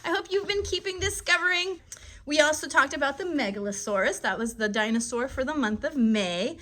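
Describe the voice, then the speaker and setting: A woman's voice: sits high, fairly quick, sharp tone. A woman hosting a casual live puppet show for children in a friendly, encouraging, upbeat way.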